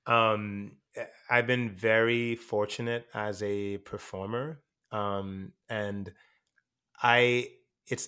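A man born in Jamaica, an actor and teacher, talking over his experiences in a relaxed interview, with frequent fillers and restarts. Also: fairly low pitch. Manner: reflective, many fillers and restarts. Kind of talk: casual interview conversation.

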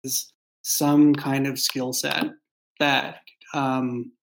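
A man's voice: mid-range voice, level tone, drawn-out words, vocal fry, low-quality recording. A man speaking as a writer in a casual behind-the-scenes discussion, with a thoughtful, hesitant, rambling way of phrasing things. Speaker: writer and creator of an audio drama.

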